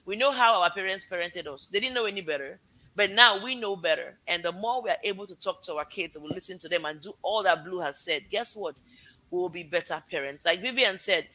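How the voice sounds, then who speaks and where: Woman, Nigerian accent with American edge, live-stream host, piercingly bright tone, gushing and rambling thanks. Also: mid-range voice, poor audio. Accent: Nigerian with American mix. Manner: effusive, heartfelt, rambling thanks. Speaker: live show host, parent. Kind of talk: casual live-stream wrap-up.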